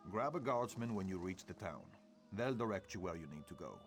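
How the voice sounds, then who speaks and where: An actor playing a gruff, weary centurion in a video game, plain-spoken and wry. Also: male voice, low voice, expressive, brisk. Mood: businesslike. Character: gruff male soldier, a centurion and family man, weary. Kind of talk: video game dialogue acting.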